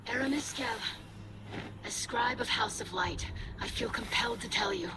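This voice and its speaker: A woman's voice: a little high, moderate pace, noisy background, natural voice. A woman playing an earnest young alien scribe in a video game, speaking formally and with urgency. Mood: formal, wary. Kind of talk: dramatic video game acting.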